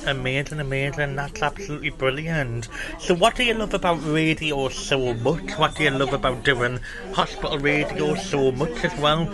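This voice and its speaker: A man's voice: on the high side, moderate pace, few pauses. A man hosting a hospital radio show, interviewing a guest in an upbeat, enthusiastic way. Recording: crowd chatter in the room. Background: crowd chatter.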